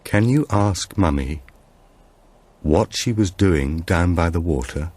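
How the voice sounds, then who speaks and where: An anxious, grieving father in an audiobook thriller, acted by a male reader. The voice is low and a little husky, quietly and carefully pressing with questions. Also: lively intonation, takes it slowly, heavily acted. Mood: anxious, insistent. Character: anxious, grieving young father, gentle but insistent.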